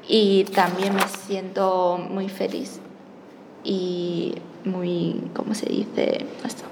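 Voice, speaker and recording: A woman's voice: on the low side, flat delivery, extremely slow. Young woman, echoey hall. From China. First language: Chinese. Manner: hesitant, searching for words, self-correcting. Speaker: interviewee. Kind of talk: personal storytelling in a second language. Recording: echoey hall with faint background noise.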